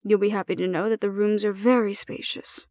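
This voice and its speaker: Woman acting a measured, formal female supervisor. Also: medium pitch, flat delivery, a little mellow, dry recording, clean studio sound, lightly acted. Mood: composed, reassuring. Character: calm, formal female supervisor handing over a hybrid prisoner. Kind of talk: audio roleplay acting.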